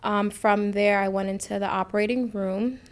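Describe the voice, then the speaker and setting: A woman's voice: medium pitch, steady tone, normal speed. A woman in her thirties from the United States co-hosting a podcast, chatting candidly and informally about her own experiences. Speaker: podcast co-host, nurse practitioner. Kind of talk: casual chat, personal storytelling.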